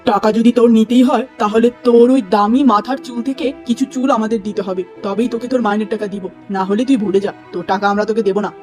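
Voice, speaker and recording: A woman's voice: fairly high pitch, steady tone, a little fast, hardly any pauses, a little mellow, soft background music, pitched below the performer's own voice, close to an everyday voice. A woman voicing a stern, greedy male landlord who speaks harshly and commands.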